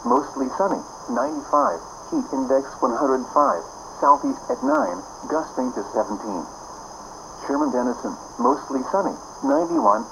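A man's voice: medium pitch, vocal fry. A man with an American accent reading a formal, clipped, scripted weather bulletin over the radio. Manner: formal, clipped list-like delivery. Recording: noisy radio broadcast picked up in a room.

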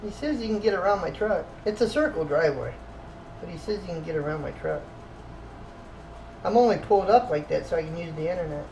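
A man's voice: on the high side, expressive, brisk, creaky, a little mellow. A middle-aged trucker chatting to his viewers in a loose, folksy ramble as he moves around his home and truck. The handheld camera picks up plenty of noise behind his voice. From Ohio, United States. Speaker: trucker vlogger. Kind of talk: casual vlog talk to camera. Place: farmhouse kitchen, driveway and truck cab in cold weather. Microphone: handheld action camera. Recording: noisy handheld camera audio.

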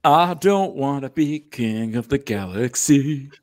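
A man's voice: mid-range voice, animated, slow, darkish tone. A young man playing a cocky space smuggler in a comic table read, emphatic and mock-serious. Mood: defiant. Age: young adult. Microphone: close desk microphone with headset.

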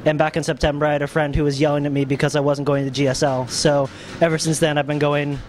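A young man studying abroad, a guest at a roundtable, talking in a relaxed, rambling way into a handheld mic. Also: on the high side, quick pace, faint music behind. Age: young adult. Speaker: exchange student, guest. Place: small restaurant.

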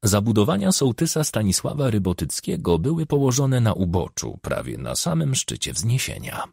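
A man narrating a crime novel as an audiobook, expressive and measured, with a gravelly, husky voice on a close studio microphone. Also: lowish voice, dry recording.

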